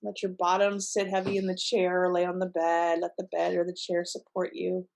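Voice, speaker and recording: A woman leading a guided relaxation in a gentle, unhurried, soothing manner with pauses between instructions. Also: lowish voice, completely flat delivery, vocal fry, rough recording. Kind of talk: guided meditation, softly instructive.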